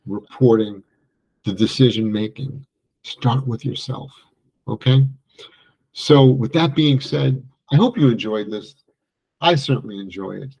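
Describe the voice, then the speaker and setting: A man's voice: mid-range voice, gravelly, darkish tone, slightly rough, rough recording. A middle-aged man from Italy giving a conversational recorded lecture, telling stories with animation. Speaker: guest lecturer.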